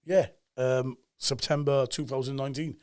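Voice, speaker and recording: A man's voice: medium pitch, clear, resonant tone. A man in his forties with a Cardiff Welsh accent, talking as a guest on a podcast and telling stories with emphatic energy. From Cardiff, Wales. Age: 40s. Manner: animated storyteller, fast and emphatic, acts out quoted voices. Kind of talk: casual podcast chat.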